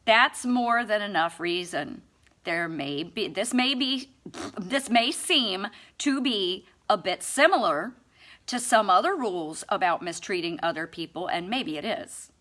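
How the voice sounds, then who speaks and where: Bright-voiced woman reading with slow sarcasm. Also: medium pitch, animated. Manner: sarcastic, mock-earnest. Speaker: amateur audiobook reader. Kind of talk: expressive scripted book reading.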